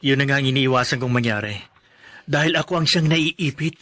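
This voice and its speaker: A man's voice: medium pitch, brisk, sharp tone, natural voice. A Filipino man playing an earnest, worried husband in a radio drama. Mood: caring, casual. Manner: earnest, concerned. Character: worried, protective young husband caught between wife and aunt.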